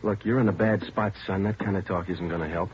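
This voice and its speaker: A no-nonsense police detective in a vintage radio crime drama, played by an actor, deep-voiced and quick, every line clipped and flat. The dull old broadcast carries a faint hiss. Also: male voice, close to an everyday voice. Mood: firm. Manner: clipped, flat, matter-of-fact. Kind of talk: 1950s radio drama acting.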